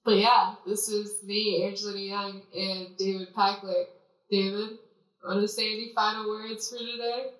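A woman's voice: mid-range voice, level tone, moderate pace, fairly bright tone. A woman podcast host, congested from a cold, wrapping up a casual chat in a playful, laughing way. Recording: echoey room, from far off, faint music.

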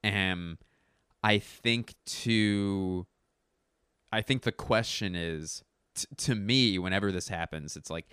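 A low-pitched man, a stand-up comedian, talking off the cuff at home. His voice is hoarse and he keeps stopping to clear his throat, yet the tone under it stays smooth and the sound is close and dry. He rambles, corrects himself mid-sentence and swears casually. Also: fairly bright tone, dry recording. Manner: rambling, self-correcting, profane. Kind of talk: casual talking-to-camera commentary.

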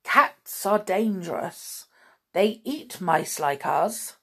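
A woman's voice: lowish voice, slow, creaky, slightly rough, full character voice. A woman voicing a timid, cautious male mouse in a children's storybook, hesitant and stammering. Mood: anxious. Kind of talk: children's storybook read aloud.

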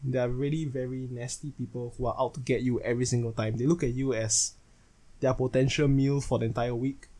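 A Singaporean man in his twenties delivers a solo podcast monologue as host, in measured, phrase-by-phrase fashion. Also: mid-range voice, level tone, mellow. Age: 20s.

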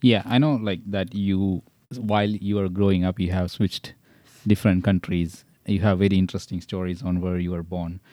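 Deep-voiced, rambling interviewer. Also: male voice, fairly even intonation, normal speed, few pauses, warm tone, no echo. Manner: encouraging, rambling questions with many restarts. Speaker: podcast host. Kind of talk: interviewing a guest.

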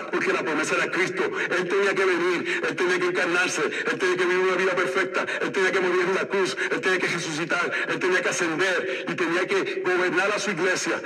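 A man's voice: high-pitched, bright, forceful tone. A man preaching a sermon as pastor of a church, with a slight lisp and an emphatic, repetitive way of exhorting the congregation. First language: Spanish. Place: church sanctuary. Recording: echoey hall, voice from far off.

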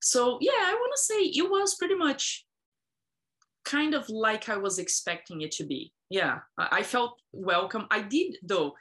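A woman's voice: on the high side, big pitch swings, measured pace, fairly bright tone. A woman from Brazil in her thirties, chatting casually with many fillers and frequent laughs. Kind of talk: casual conversation.

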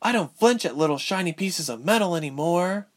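A man's voice: high-pitched, lively intonation, a little fast, pitched above the performer's own voice. A man voicing a defiant, bitter young woman sorceress in a storybook, with a sarcastic, biting manner.

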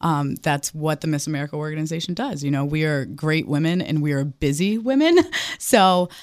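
A young woman speaks as an interview guest in a low voice for a woman. She is upbeat and enthusiastic, chatting openly. The sound is close and dry. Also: brisk, darkish tone, no echo. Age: young adult. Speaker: pageant titleholder guest.

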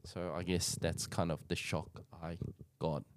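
Low-voiced man from Taiwan. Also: little pitch movement, unhurried, dry recording, studio quality. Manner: thoughtful, rambling, hedges a lot. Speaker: podcast co-host. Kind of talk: casual chat.

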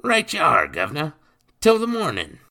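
A man voicing a menacing criminal stepfather who talks in gruff, ungrammatical street slang. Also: a little high, wildly swooping pitch, moderate pace, sharp tone, voice pushed up, heavily acted. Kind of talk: audiobook character voice.

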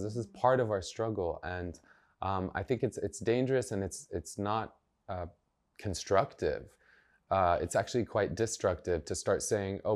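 A man, a journalist and activist, speaking earnestly and emphatically as a podcast guest, thinking aloud as he goes. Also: sits low. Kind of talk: podcast interview conversation.

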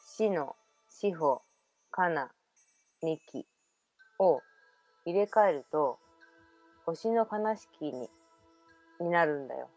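A woman's voice: low-pitched, lively intonation, slow, long pauses, a little mellow, muffled recording, soft background music, full character voice. Woman playing a girl with past-life memories. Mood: mysterious. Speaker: radio drama performer. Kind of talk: amateur radio drama acting.

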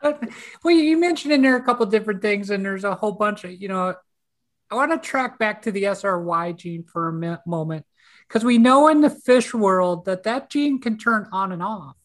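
A woman with a mid-pitched voice jumps eagerly into a casual podcast chat. She talks quickly when she adds a point. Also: animated.

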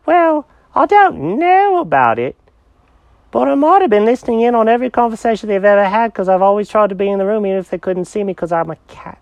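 A storyteller voicing an excitable, scatterbrained male pet cat who swoops through exclamations and questions. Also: mid-range voice, a little fast, dry recording, muffled recording, pitched above the performer's own voice, close to an everyday voice. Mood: eager.

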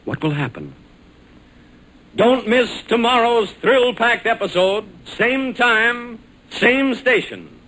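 A man's voice: very high voice, lively intonation, slow pace, bright, forceful tone, rough recording. An American man announcing a 1940s radio serial in a dramatic, punchy, salesmanlike scripted style. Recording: vintage radio recording with faint noise.

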